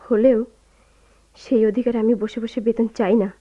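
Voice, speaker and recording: A woman's voice: a little high, steady tone, moderate pace, close to an everyday voice. A woman playing a proud, grieving young wife and office typist in a radio drama, speaking earnestly and deferentially, with open emotion. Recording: dull lo-fi radio drama recording.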